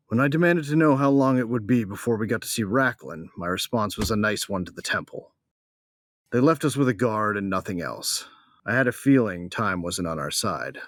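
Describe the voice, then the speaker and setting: Actor as very deep-voiced, grieving bounty hunter. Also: male voice, no room sound, studio quality, close to an everyday voice. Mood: grim, wry. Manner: brooding, terse, slangy. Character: grieving, embittered tough male bounty hunter narrating his tale. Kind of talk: first-person audio drama narration.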